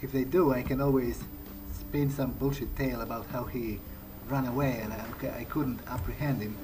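A man's voice: mid-range voice, fairly even intonation, normal speed, music in the background. A man improvising in character as he plays a video game, thinking aloud hesitantly. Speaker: gamer playing in character. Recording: clearly noisy, game ambience underneath. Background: video game ambience.

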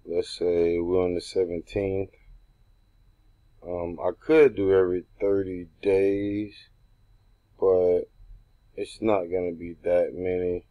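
A man with a very deep voice walks through his screen in a relaxed tutorial. He talks loosely, thinking aloud and restarting sentences as he goes, as if coaching his assistants. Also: takes it slowly, long pauses, phone-quality sound. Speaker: real estate investor training assistants. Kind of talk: screen-recorded tutorial walkthrough.

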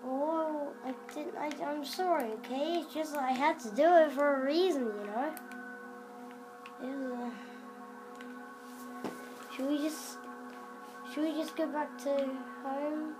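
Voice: sits high, takes it slowly, long pauses, over music, low-quality recording. A child improvising role-play commentary while playing a video game, hesitant and coaxing, recorded in a living room in front of a TV. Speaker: player making a game video. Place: living room, filming a TV screen.

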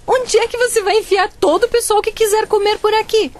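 A young actress playing a student daughter in a radio soap, sarcastic and complaining. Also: female voice, extremely high pitch, steady tone, fairly quick. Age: young adult. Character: sulky student daughter Ana.

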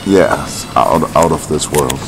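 A man in his thirties chatting casually in a shop, halting with many fillers, and slightly hoarse from a cough. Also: a bass voice, music in the background. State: has a cough. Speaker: vlogger. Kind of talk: casual chat. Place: indoors in a large shop.

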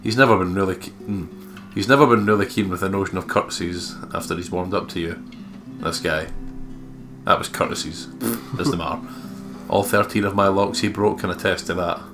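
A man's voice: sits low, steady tone, a little fast, music in the background. A man co-hosting a game playthrough, mixing casual commentary with reading aloud and joking asides. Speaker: co-host of a let's play, reading the on-screen text aloud.

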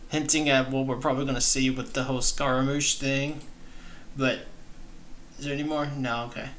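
A young adult man hosting a gaming reaction, talking casually and enthusiastically in halting phrases, close to the mic. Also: mid-range voice, sharp tone, slight background noise. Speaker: gaming channel host. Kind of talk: reaction commentary. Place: small indoor streaming room. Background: trailer music at times.

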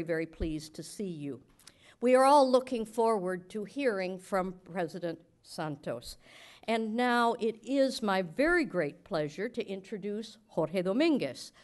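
Formal, measured woman at a podium. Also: medium pitch. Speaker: event host, academic director. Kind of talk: formal podium introduction speech. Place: large auditorium stage, indoors. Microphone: podium microphones.

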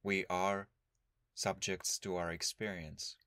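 A man leading a guided meditation as a teacher, speaking in a calm, measured, instructional way with long pauses. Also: deep voice, no room sound.

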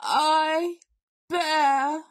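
A woman voicing a big, grumpy male bear in a children's storybook, raspy, wheezy and theatrically mournful about being ill. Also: sits high, fairly even intonation, drawn-out words, pitched above the performer's own voice. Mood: weakly pompous. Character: big gruff sick bear, male, grumpy, self-pitying, dramatic. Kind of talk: children's storybook read-aloud.

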